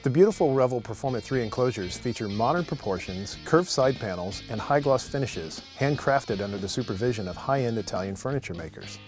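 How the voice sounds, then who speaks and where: A man's voice: medium pitch, expressive, a little fast, few pauses. Polished male promo narrator over guitar music. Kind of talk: polished scripted product narration.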